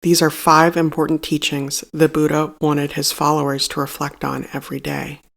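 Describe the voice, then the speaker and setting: A meditation guide reading a scripted narration in a measured, solemn and instructive manner. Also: medium pitch, completely flat delivery, creaky, a bit bright.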